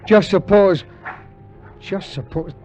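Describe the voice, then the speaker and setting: A man's voice: high voice, slow, darkish tone. A man playing a cynical, slangy tough-guy adventurer narrating his tale in 1950s radio drama style. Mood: brooding, agitated. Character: Johnny, hard-boiled cynical adventurer telling his gold-hunting tale. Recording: vintage 1950s radio recording, music underneath.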